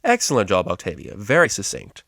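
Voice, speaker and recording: A man's voice: lowish voice, wildly swooping pitch, a little fast, a bit bright, dry recording, clean studio sound, full character voice. A man voicing a cheery, boisterous male pony who teaches psychology, in a storybook reading. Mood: encouraging. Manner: boisterous, jovial, encouraging.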